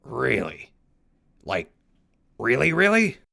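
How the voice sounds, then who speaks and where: Guy doing raspy gravelly wasteland survivor, exasperated and sardonic, slow talker, audio drama. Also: mid-range voice, huge pitch swings, pushed and bright, heavily acted. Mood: baffled.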